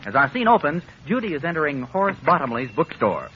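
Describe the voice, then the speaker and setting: A man's voice: fairly high pitch, big pitch swings, fast, background noise. A man announcing a radio show in 1940s style. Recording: muffled 1940s radio broadcast over loud music.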